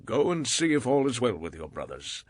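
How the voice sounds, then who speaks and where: An actor playing an aged patriarch father, stern but loving, in a dramatized scripture reading, with a husky voice. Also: medium pitch, very animated, moderate pace. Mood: instructing.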